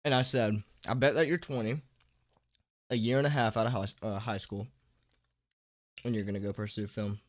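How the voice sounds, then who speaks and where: A man with a fairly low voice chats loosely on his livestream. He sounds tired and under the weather with a sore throat, and talks in relaxed slang, dropping "dude" and "man" often. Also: moderate pace, pauses often. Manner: informal slangy young-streamer talk with frequent "dude" and "man". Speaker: livestream host and horror story narrator. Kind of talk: casual livestream chat, reading and answering viewers.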